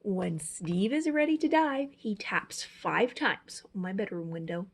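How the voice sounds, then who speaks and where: A woman's voice: medium pitch, vocal fry, darkish tone, husky. A woman reading a children's picture book aloud as a storyteller, with dramatic, emphatic phrasing. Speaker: storyteller, teacher.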